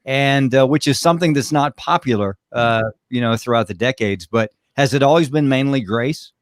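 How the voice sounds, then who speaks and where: A man's voice: mid-range voice, normal speed, dry recording. Male talk radio co-host, mid-range voice, casual rambling interviewer, dry booth mic. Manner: conversational, asks long rambling questions.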